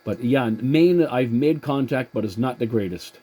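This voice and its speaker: A man chatting casually as a CB radio operator, in a halting way that repeats words. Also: medium pitch, noisy background. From Atlantic Canada.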